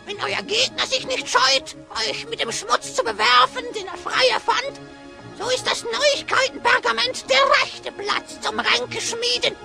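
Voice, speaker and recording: A woman's voice: very high voice, steady tone, measured pace, creaky, over music, exaggerated voice. A woman playing a scheming hyena spy in an audio drama, with oily, theatrical rhyming speech. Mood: eager, sly. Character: scheming hyena spy, sly slanderer who speaks in rhyme. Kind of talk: German children's audio drama acting.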